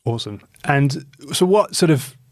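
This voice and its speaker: A man's voice: a little high, expressive, normal speed, no echo, studio quality. A man hosting a podcast, chatting informally and asking loosely phrased questions. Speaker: podcast host. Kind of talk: casual interview chat.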